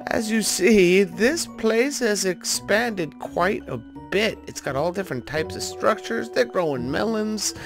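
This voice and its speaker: A man's voice: sits high, fairly bright tone. A man hosting a gaming video, giving casual commentary and thinking aloud in an informal way as he plays. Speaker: host of a gaming video series.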